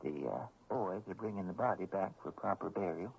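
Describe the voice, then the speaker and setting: A man playing a kindly, apologetic old fisherman in a radio drama, with a rural American accent and a gravelly, halting, folksy delivery. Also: low-pitched, full character voice. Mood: sorrowful. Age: older. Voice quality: gravelly, creaky. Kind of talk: 1970s radio drama acting. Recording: dull, noisy old radio recording.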